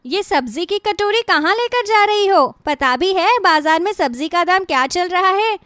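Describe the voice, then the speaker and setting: A woman's voice: very high-pitched, animated, normal speed, fairly bright tone, no room sound, clean studio sound. A woman voicing a cruel, bossy young daughter-in-law in a cartoon story, with a haughty, scolding manner. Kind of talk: Hindi cartoon moral-story dialogue.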